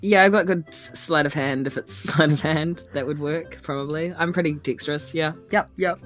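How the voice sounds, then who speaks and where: A man playing a tabletop game, chatting casually and jokingly in halting, repeated short phrases. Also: on the high side, a bit bright. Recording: faint music behind the voice.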